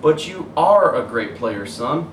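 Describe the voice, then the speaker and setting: A man voicing a caring, encouraging father in a children's storybook, gently questioning and reassuring. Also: fairly high pitch, lively intonation, takes it slowly, pitched above the performer's own voice. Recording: roomy, distant mic, faint hiss.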